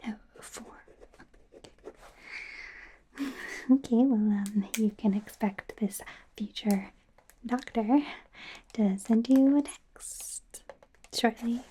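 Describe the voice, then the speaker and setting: A woman playing an awkward, eager werewolf trainee doctor in a soft, breathy, hesitant whisper for an ASMR roleplay. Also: on the high side, slow, long pauses, slight background noise. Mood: shy, flirty. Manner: hesitant, rambling, giggly, stammering.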